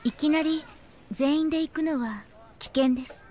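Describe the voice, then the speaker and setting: A woman's voice: sits high, moderate pace, darkish tone, close to an everyday voice. A woman playing a composed, polite young woman in an anime audio drama. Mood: earnest.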